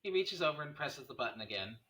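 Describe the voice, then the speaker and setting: A man's voice: fairly high pitch, big pitch swings, quick pace, bright, forceful tone. A man narrating scene headings and stage directions at a screenplay table read.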